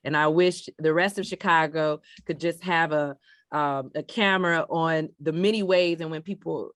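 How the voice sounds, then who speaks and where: A woman's voice: low-pitched, fairly even intonation, normal speed, a bit bright, no echo, studio quality. A woman speaking as a panelist on a video call, reflective and building her point step by step.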